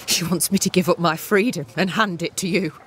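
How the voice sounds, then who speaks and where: A woman's voice: on the low side, brisk, a little hiss or hum, lightly acted. An actress playing a proud, bitter young woman in a game cutscene, scornful and blunt. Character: bitter, proud young woman camp settler, resentful of her sister. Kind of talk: dramatic game cutscene acting.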